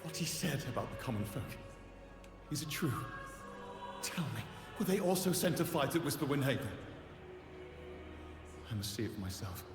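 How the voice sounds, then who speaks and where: A man's voice: mid-range voice, very animated, normal speed, pauses often. An earnest, questioning character in a dramatic game scene, insisting on the truth. His voice moves animatedly and rings in a reverberant hall, with music behind it. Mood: earnest, insistent. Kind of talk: dramatic video game voice acting.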